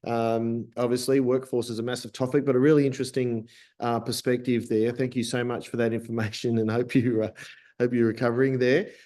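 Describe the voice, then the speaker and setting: A man's voice: mid-range voice, flat delivery, a little fast, dark tone. An Australian man moderating an online panel, rambling and stumbling over repeated words.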